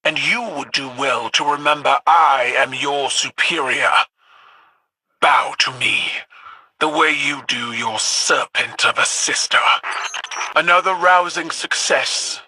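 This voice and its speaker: A man's voice: mid-range voice, slow, bright, forceful tone, breathy edge, no echo, heavily acted, low-quality recording. A man voicing an arrogant, sneering taunter in a video game, with a haughty, pointed delivery. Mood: scornful. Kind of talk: video game character acting.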